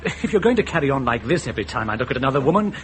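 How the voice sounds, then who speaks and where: An exasperated young newlywed, acted by a man in a 1970s radio drama. His mid-pitched voice swings up and down a lot, on an old recording with slight noise. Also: sing-song, racing, lightly acted.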